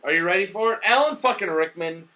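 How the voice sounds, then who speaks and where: A man with a high, forceful, bright voice talks through a casual movie review while drunk. He swears freely and rambles, often losing the thread of the conversation. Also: lively intonation, fast. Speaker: movie review show host. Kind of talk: casual, profane review banter.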